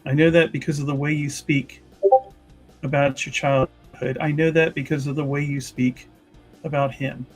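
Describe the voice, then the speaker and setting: The husky, careful peacemaker of a fantasy roleplaying stream, played by a man, offering solemn counsel in measured pieces while faint guitar plays. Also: fairly high pitch, level tone, lightly acted. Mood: earnest, gentle. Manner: measured, halting, earnest. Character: earnest, conciliatory chantry member, solemn and cautioning. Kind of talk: in-character improvised dramatic dialogue.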